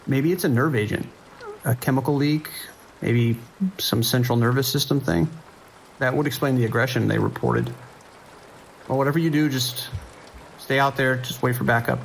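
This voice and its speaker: Man acting as anxious, gravelly police dispatcher. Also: mid-range voice, moderate pace, long pauses, natural voice, low-quality recording. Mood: steady, concerned. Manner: urgent, clipped radio procedure, hesitant when shaken. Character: male police dispatcher, anxious but holding the line. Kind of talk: audio drama acting. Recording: rain and ambient noise behind.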